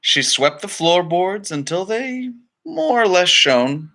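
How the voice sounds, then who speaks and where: A man's voice: sits high, very animated, takes it slowly, pushed and bright. A man reading a children's story aloud expressively, with dramatic phrasing and casual asides. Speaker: storybook reader.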